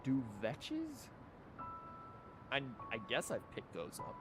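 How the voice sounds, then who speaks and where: A man's voice: medium pitch, huge pitch swings, slow. Rambling man streaming over game music. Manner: rambling, conversational. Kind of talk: casual livestream chat and game commentary.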